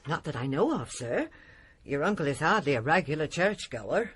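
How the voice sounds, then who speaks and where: A deferential, fretful housekeeper in a radio play, forever answering with "sir". Her voice sits a touch low and rises and falls freely. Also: big pitch swings, normal speed, slight background noise. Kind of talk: radio drama acting.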